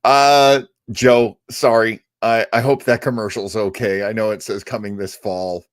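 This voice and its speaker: A man's voice: on the low side, flat delivery, measured pace, no echo, clean studio sound. An older man hosting an old-time radio show in a casual livestream chat, rambling, effusive and self-deprecating.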